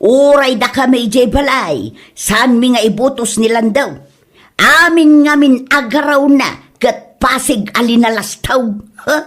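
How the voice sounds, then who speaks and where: A woman's voice: high-pitched, measured pace. A woman with an Ilocano accent playing a gossipy, blunt village woman in a comedy drama. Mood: indignant. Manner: exclaiming, nagging, blunt.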